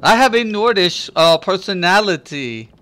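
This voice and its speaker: A man's voice: high-pitched, animated, normal speed, pushed and bright. A man streaming a game, chatting casually and rambling with lots of fillers while thanking his viewers. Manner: talks to chat viewers by name; laughs often, playful. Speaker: gaming streamer. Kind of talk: casual live-stream chat.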